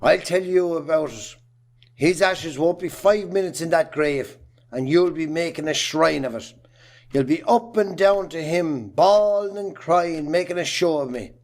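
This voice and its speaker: A man acting a bitter, domineering middle-aged farmer and jealous husband in an amateur play, scornful, with an Irish accent. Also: fairly high pitch. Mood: mocking, harsh. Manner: scornful, hard, rapid lists of grievances.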